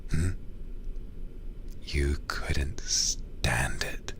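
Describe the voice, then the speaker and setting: A man playing a seductive, menacing demon lord in an ASMR roleplay, teasing and condescending in a breathy voice with drawn-out pauses. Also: steady tone, a bit bright, exaggerated voice. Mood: smug, seductive. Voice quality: very deep, breathy whisper-heavy voice. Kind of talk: ASMR roleplay, close whispered delivery.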